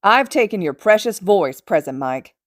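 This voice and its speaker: A woman voicing a boastful, theatrical male villain in a story, loud and gloating. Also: mid-range voice, animated, normal speed, no echo, studio quality, pitched above the performer's own voice, natural voice.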